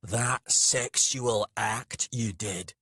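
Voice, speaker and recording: A man's voice: lowish voice, extremely slow, bright, forceful tone, slightly rough, dry recording, clean studio sound, exaggerated voice. A man voicing a big, fierce male brawler in a story, blunt, sweary and goading. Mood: bitter, menacing.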